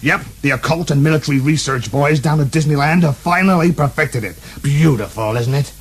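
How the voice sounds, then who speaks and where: An actor playing a power-crazed rich American tycoon in a wheelchair, bombastic and prone to shouting, in a comic radio play. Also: male voice, fairly high pitch, fairly quick, slightly rough. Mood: scheming.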